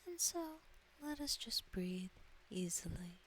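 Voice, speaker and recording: Very slow, soft breathy woman meditation guide. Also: medium pitch, big pitch swings, low-quality recording. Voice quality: soft, breathy, near-whisper. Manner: gentle, soothing, deliberate. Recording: faint background hiss.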